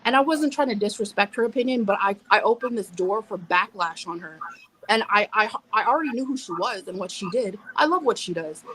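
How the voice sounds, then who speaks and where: A woman's voice: mid-range voice, lively intonation, a little fast, a bit bright, a little hiss or hum, poor audio. A woman in her thirties, a guest on a live panel, talking bluntly, cursing, restarting and over-explaining. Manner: blunt, profane, self-interrupting, over-explains. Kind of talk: casual live panel discussion.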